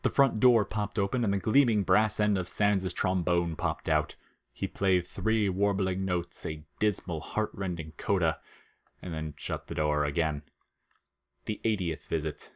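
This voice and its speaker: Deep-voiced man narrating fiction. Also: a little slow.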